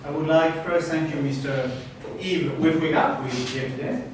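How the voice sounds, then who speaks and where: A man's voice: on the high side, fairly even intonation, unhurried, slight background noise. Male interpreter in an echoey hall. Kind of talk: consecutive interpreting into English. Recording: echoey room from far off.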